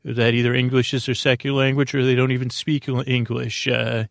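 A man hosting a bedtime podcast in a rambling monologue, halting and meandering as he stammers, droning along and interrupting himself. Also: flat delivery, vocal fry, no echo, studio quality. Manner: halting, meandering, self-interrupting, often stammers over words; droning, low-stakes delivery. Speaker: podcast host.

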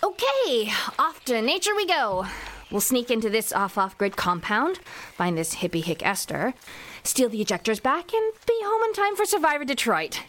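A woman's voice: fairly high pitch, very animated, moderate pace, a bit bright. A woman playing a breezy, sarcastic schemer in a comedy sci-fi audio drama, flippant and sardonic.

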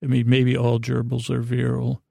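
Creaky-voiced man rambling a bedtime monologue. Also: medium pitch, monotone, mellow, no room sound. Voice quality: creaky, gravelly voice. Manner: meandering, halting, repeats himself, goofy. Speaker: podcast host.

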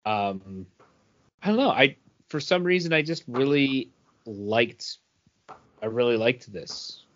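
Male podcast co-host, casual, halting delivery, dull lo-fi sound. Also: medium pitch, expressive, a bit bright, a little hiss or hum. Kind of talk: casual chat. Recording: dull lo-fi audio.

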